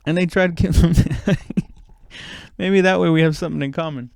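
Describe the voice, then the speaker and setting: A man's voice: on the high side, moderate pace, gravelly, darkish tone, no room sound. A man giving a casual, rambling comedic monologue, self-deprecating and swearing freely. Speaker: comedian and podcast host.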